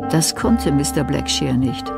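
A woman narrates a crime radio play. She reads scripted prose as a storyteller. Music plays clearly beneath her voice. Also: deep voice, measured pace. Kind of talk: scripted audio drama narration.

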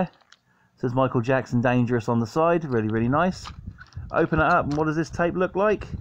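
Man chatting casually, dull lo-fi audio. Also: mid-range voice. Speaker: video host showing a cassette collection. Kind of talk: casual show-and-tell vlog. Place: indoors at home by a sofa. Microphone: handheld camera or phone mic.

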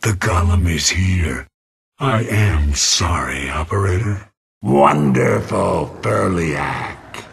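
A raspy corrupted ship AI. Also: male voice, deep voice, slow, heavily acted. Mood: uneasy. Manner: swings between frightened pleading and a menacing hive voice. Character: corrupted male ship AI, torn and pleading. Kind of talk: video game voice acting.

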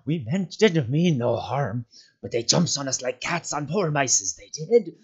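A man's voice: on the high side, animated, a little slow, slightly rough, pitched above the performer's own voice. A man voicing a wretched, cringing creature that hisses, whispers and whimpers in a raised, strained voice, on a dull lo-fi recording. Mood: whimpering, pleading.

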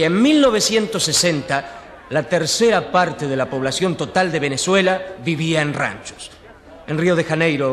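A man's voice: on the high side, lightly acted. A man acting a well-informed newspaper journalist who explains things in a conversational radio drama. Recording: reverberant hall sound.

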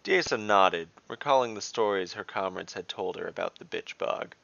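A man's voice: sits low, animated, moderate pace, gravelly, fairly bright tone, highs cut off. A man narrating a scripted fantasy adventure story.

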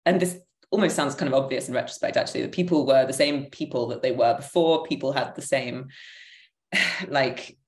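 A woman's voice: rumbling low, moderate pace. A woman in her thirties giving reflective, hesitant answers as an interview guest on a video call, often correcting herself as she goes. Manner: hesitant, thoughtful, self-correcting. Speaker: interview guest, theatre maker. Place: living room at home, indoors, on a video call. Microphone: computer microphone on a video call. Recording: roomy, echoing video-call audio.

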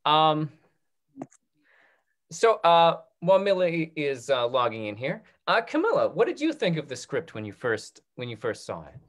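A man in his thirties moderating a talk-back on a video call in a casual, halting way, often repeating his words. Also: a little high, big pitch swings. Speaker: host of a theatre talk-back.

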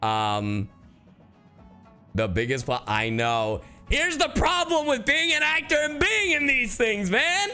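A man's voice: very high voice, huge pitch swings, slow, sharp tone, soft background music. A man streaming casually to his chat, slangy and emphatic, repeating himself to drive points home. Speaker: streamer and voice actor. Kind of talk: casual livestream reaction chat.

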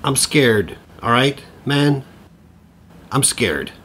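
An actor playing a flustered, needy middle-aged father in an audio play, with a husky voice and a hesitant, stammering way of talking. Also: medium pitch, big pitch swings, very slow, fairly bright tone, a little hiss or hum, full character voice. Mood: anxious, dejected. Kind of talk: naturalistic audio play acting.

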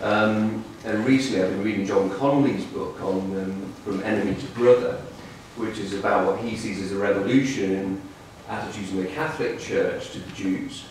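A man's voice: lowish voice, steady tone, normal speed, few pauses. A man speaking thoughtfully in an academic discussion, recorded from a distance in a conference room. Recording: roomy echoing hall, distant mic, faint noise.

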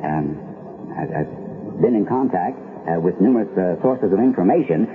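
Halting, low-voiced radio news anchor. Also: male voice, lively intonation. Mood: shaken, hesitant. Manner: halting, hesitant, full of ums and stammers. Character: flustered male radio news anchor during an emergency. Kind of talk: 1970s radio newscast acting. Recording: narrow, noisy 1970s radio recording.

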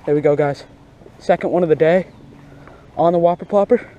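Young guy, high-ish mellow voice, fishing outdoors. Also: a little fast, dull audio. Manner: informal, excitable, slangy. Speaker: fishing vlogger. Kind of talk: casual outdoor fishing commentary. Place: outdoors beside a grassy pond. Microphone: body-worn action camera. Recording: outdoor action camera with ambient noise.

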